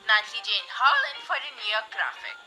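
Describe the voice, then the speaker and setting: A woman's voice: fairly high pitch, expressive, moderate pace, bright, forceful tone, faint music behind, rough recording. A young woman acting in a radio drama as an inquisitive, dramatic 1920s newspaper reporter. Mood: eager, questioning. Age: teenager.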